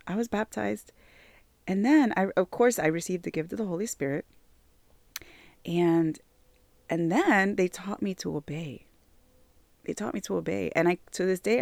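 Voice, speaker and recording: Earnest woman with a gravelly, hoarse voice. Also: mid-range voice, a little mellow, no echo. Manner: earnest, repeats phrases for emphasis, many fillers like "like" and "you know". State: slightly hoarse from asthma and allergies. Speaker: church member sharing her testimony. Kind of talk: personal faith testimony.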